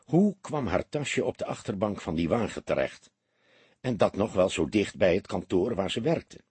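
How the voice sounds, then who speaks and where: A male audiobook narrator with a very husky voice reads literary prose. His delivery is expressive, with lively pitch movement, and the recording is close and dry. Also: moderate pace, dry recording.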